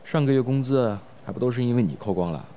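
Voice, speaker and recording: A young man acting a breezy, teasing high school student in a modern audio drama, talking casually and playfully. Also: expressive, fast talker, mellow, slight background noise, close to an everyday voice.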